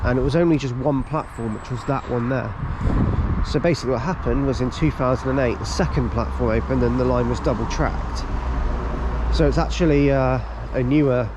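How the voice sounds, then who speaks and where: A man with a cold-stuffed nose talks casually and rambles a little, filling gaps with ums as he narrates. He is recorded outdoors on a camera mic. Clear outdoor noise and passing trains fill the background. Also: mid-range voice, fairly quick. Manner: chatty, lots of ums and restarts. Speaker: railway vlogger. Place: outdoors on station platforms.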